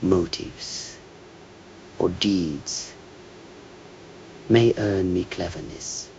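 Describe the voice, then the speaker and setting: A man delivering a scripted meditative monologue in a measured, contemplative way, with hushed phrase endings that trail toward a whisper. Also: low voice, creaky, muffled recording, a little hiss or hum.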